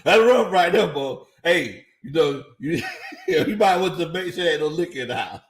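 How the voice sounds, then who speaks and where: A man talking sports on a livestream, his fairly high voice a bit worn and tired. He keeps chuckling as he reads out chats, and the sound bounces around an echoey room. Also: expressive, moderate pace. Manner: laughs often, chuckling through his lines. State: voice slightly worn out. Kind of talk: casual livestream commentary, reading viewer chats.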